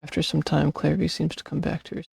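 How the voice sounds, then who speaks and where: A man with a gravelly, husky voice, a game streamer who reads story text and comments casually into a close mic. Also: fairly high pitch, completely flat delivery, fast talker, a little mellow, no echo.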